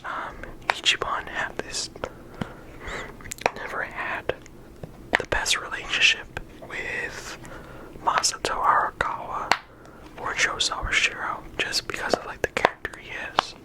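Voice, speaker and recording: A person whispering in ASMR style, talking through a discussion in a casual, halting way with lots of filler words. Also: rough recording. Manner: casual, halting phrasing with frequent "like" and "you know". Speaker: video creator and host.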